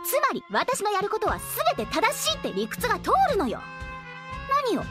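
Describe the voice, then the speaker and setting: A woman playing a vain, whiny young goddess in an anime audio drama, haughty, dramatic and quick to plead. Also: very high voice, huge pitch swings, over music, exaggerated voice. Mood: haughty, indignant.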